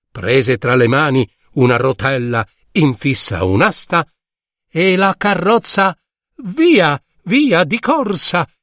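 A man narrates a children's fairy tale with theatrical flair. His fairly high voice swings widely in pitch, and he takes his time, lingering on repeated words. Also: fairly bright tone, no room sound, clean studio sound. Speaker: storyteller.

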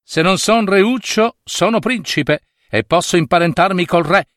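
A man's voice: high-pitched, measured pace, fairly bright tone, no room sound, clean studio sound, voice pushed up. A man voicing a cheeky, boastful barber in a fairy tale, cocky and playful. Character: cheeky, boastful barber with guitar, wooing a princess.

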